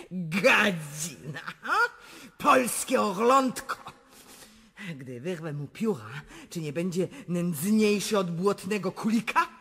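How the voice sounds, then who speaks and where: An actress playing an imperious, lustful and volatile Russian empress in a radio drama, with a commanding theatrical manner. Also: female voice, fairly low pitch, animated, takes it slowly, slightly rough, exaggerated voice. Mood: scornful, furious. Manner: commanding, theatrical, swinging between murmurs and shouts.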